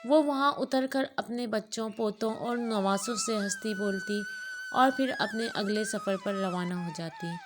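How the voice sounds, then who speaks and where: A woman storyteller narrates a scripted moral tale. Her voice sits at an ordinary middle pitch for a woman, and music plays clearly behind her. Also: huge pitch swings, a little slow. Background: background music.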